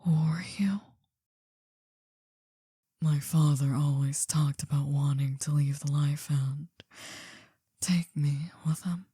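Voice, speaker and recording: An older female crime boss, acted by a woman, murmurs right into the ear in a very dark, mellow whisper. She is slow and deliberate, breathy and possessive, soft but dangerous in an ASMR roleplay, recorded close and dry. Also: low-pitched, steady tone, no room sound, full character voice. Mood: wistful, confiding. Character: possessive older female mafia boss, soft-spoken, coaxing yet menacing. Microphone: close dry microphone.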